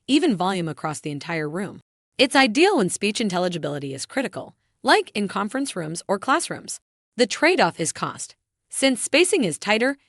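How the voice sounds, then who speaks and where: A woman with a fairly low voice delivers scripted explainer narration. Her intonation moves up and down in a lively way while she stays measured and instructional. The sound is dry and close, with very little room in it. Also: no room sound. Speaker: narrator of a technical tutorial.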